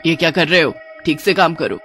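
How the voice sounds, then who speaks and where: A woman's voice: low voice, fairly quick, fairly bright tone, soft background music. A woman voicing a bossy, menacing witch with a scolding, demanding manner for a horror cartoon. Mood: bossy, irritated. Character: bossy, menacing female witch ordering her husband around.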